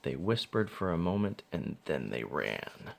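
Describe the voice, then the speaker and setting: A man's voice: a bass voice, very animated, unhurried, gravelly, a little hiss or hum. A man reading a children's storybook aloud as narrator. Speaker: father reading a picture book.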